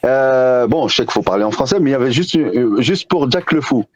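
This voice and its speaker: Guy chatting on a live stream, lively up and down voice, stumbles and restarts. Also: mid-range voice, a little fast, muffled recording. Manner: animated, restarts, quotes others in put-on voices. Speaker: debate participant. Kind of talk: casual live-stream chat.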